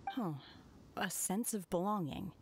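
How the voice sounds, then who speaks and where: An actress playing a soft-spoken, earnest young woman outsider in a video game, polite and hesitant. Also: mid-range voice, measured pace, slight background noise, close to an everyday voice. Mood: reserved.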